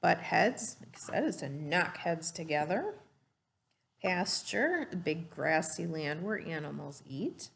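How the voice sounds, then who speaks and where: Creaky, low-pitched woman reading to children. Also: very animated, slow pace, slight background noise. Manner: simple explanations with questions to young listeners. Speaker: teacher reading a storybook to children. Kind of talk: storybook read-aloud and lesson for children.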